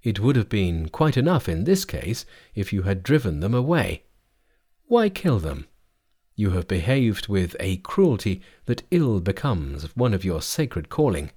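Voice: sits low, big pitch swings, a little slow, dark tone, breathy edge, full character voice. A storyteller voicing a pious male priest, grave and moralizing, in a storybook tale. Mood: reproachful. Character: solemn, reproachful pious priest, Monkey's master. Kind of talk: storybook character voice.

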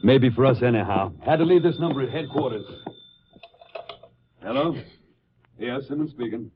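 A man's voice: mid-range voice, lively intonation, moderate pace, lightly acted. A man playing an earnest, folksy local police detective in a 1950s radio drama, conversational and businesslike. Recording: tinny, faintly noisy vintage radio.

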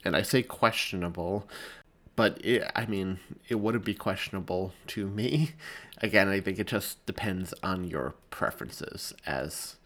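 A man introduces a podcast episode as its host in an informal way. His voice sits fairly low and he takes his time between phrases. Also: a little slow, a bit bright.

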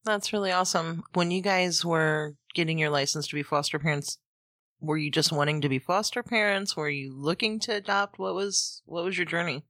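A woman's voice: fairly low pitch, moderate pace, a bit bright, dry recording, clean studio sound. A woman co-hosting a podcast interview in a casual, conversational style. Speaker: podcast co-host. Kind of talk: casual chat.

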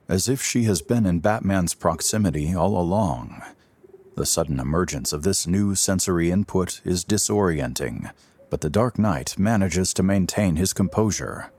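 A man narrating an audio drama in a theatrical, deliberate storytelling manner. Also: low-pitched, darkish tone, husky, no room sound.

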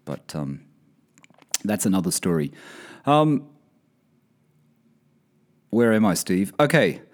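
A man with a very low voice hosts a podcast. He talks in a loose, rambling way, and his voice sounds a little croaky, as if he is coming down with something. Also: slow. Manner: relaxed, rambling, many fillers. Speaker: podcast host. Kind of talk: casual podcast chat. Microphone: podcast mic over video call.

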